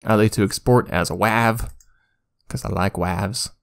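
A man giving a casual software tutorial as a YouTuber, informal and self-deprecating, with the odd casual swear word. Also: lowish voice, a little slow, vocal fry, smooth.

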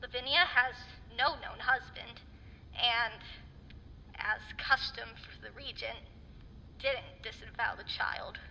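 An actress playing a stern, rational government doctor giving a formal, precise report in a radio drama. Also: female voice, on the high side, steady tone, slow pace, gravelly, bright, forceful tone, exaggerated voice. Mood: clinical. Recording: hollow reverberant hall, muffled, faint noise.

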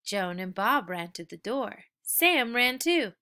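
Lady doing a kids read-aloud, highish bright voice, takes her time, really expressive. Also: takes it slowly. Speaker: children's story reader.

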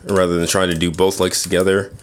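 Very low-pitched man giving casual demo. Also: level tone, a little fast. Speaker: toy reviewer. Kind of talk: casual hands-on product demonstration. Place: indoors at a tabletop display. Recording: clear background noise, handling clicks.

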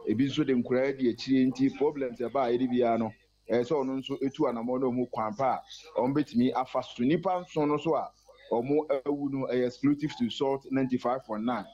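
A man's voice: mid-range voice, steady tone, a little fast, warm tone. A man giving a live sports news report over the phone, fluently mixing Twi and English. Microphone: phone line. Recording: muffled phone line.

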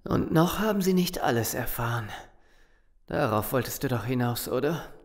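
Zombie audio drama: sarcastic, suspicious survivor, low register, very animated. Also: female voice, normal speed. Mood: grim. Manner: sarcastic, confrontational. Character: Simon, distrustful hot-headed survivor of a zombie apocalypse. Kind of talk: German audio drama acting.

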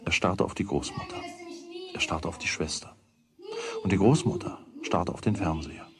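A man narrating a children's radio play as a wry, deliberate storyteller with a husky voice. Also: deep voice, wildly swooping pitch. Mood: wry, measured. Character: wry storyteller of a boy's everyday troubles.

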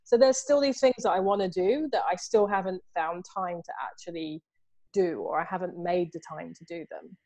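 A woman's voice: on the low side, normal speed. A woman, talking animatedly as an artist guest over a video call from home, with plenty of "you know"s. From Southeast London, England. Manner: animated, gestures a lot, many fillers like "you know". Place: home room with books and artwork behind. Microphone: video call, earphones.

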